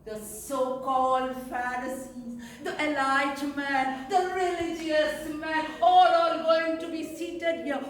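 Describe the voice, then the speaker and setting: A woman acts out a lonely outcast sinner woman in a stage monologue, very high-pitched and pleading. She speaks slowly and theatrically, her voice ringing through a very echoey room. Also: little pitch movement. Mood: anxious. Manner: theatrical, emotional, emphatic pauses and rhetorical questions. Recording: echoey room, distant mic, faint noise.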